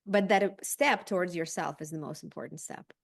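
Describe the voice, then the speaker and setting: A woman's voice: lowish voice, moderate pace, creaky. A woman speaking conversationally and supportively as a group host and coach.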